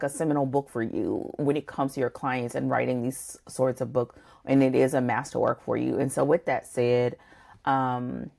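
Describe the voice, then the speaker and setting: A woman's voice: very deep voice, gravelly, a little mellow. A woman co-hosting a podcast, discussing a book conversationally and thinking aloud in a discursive way. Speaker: podcast co-host.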